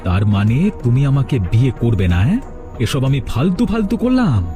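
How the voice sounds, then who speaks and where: A man's voice: medium pitch, huge pitch swings, a little fast, music in the background. A man voicing a resentful, threatening young village man in a cartoon melodrama, with dramatic delivery. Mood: anxious, annoyed. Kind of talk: cartoon voice acting.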